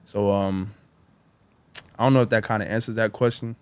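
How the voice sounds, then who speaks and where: Low-voiced young man, rambling chat. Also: fairly even intonation, a little mellow, smooth, dry recording. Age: 20s. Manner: rambling, halting, many fillers and restarts, says bro. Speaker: podcast co-host. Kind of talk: casual reflective chat.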